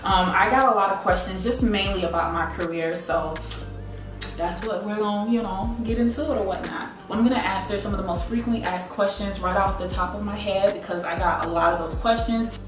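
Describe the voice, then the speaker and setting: A woman's voice: medium pitch, brisk. An American woman in her late twenties from South Carolina, chatting casually to camera and addressing viewers like girlfriends. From South Carolina, United States. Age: late 20s. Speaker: YouTube content creator. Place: indoors, living room at home. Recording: echoey room, distant mic, music behind. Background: background music.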